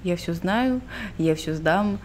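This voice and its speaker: Young woman, from Belarus, lowish pitch, chatty, faint background noise. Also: expressive, slow. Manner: chatty, laughs often, mixes in English words. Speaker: podcast co-host. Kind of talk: casual chat.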